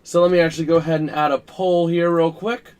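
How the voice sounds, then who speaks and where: High-voiced man with a scratchy voice. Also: level tone, fast talker, darkish tone, clean tone. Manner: chatty, filler-heavy, talks to chat while working the stream. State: scratchy, rough voice. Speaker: streamer hosting live sports coverage. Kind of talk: casual live-stream commentary.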